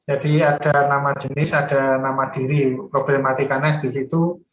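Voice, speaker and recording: A man's voice: medium pitch, little pitch movement, normal speed, reverberant, dull audio. A man moderating a seminar, politely reading out audience questions.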